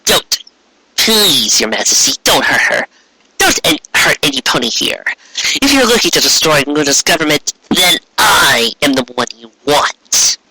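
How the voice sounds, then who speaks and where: A man voicing a brave but anxious young female unicorn apprentice, earnest and halting, in a fan fiction audiobook. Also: on the high side, sing-song, sharp tone, breathy edge, full character voice, poor audio. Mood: pleading.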